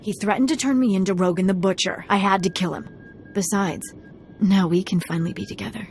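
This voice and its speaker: A woman's voice: medium pitch, steady tone, fairly quick, darkish tone, faint music behind, lightly acted. An actress playing a scheming, persuasive female informant in a video game, calculating as she bargains. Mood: hurt, desperate.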